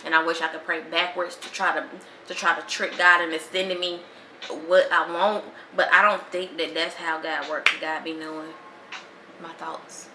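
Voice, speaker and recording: A woman's voice: low voice, level tone, moderate pace. A woman, talking casually and with animation as a podcast host in a slangy monologue. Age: 20s. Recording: echoey room with faint noise.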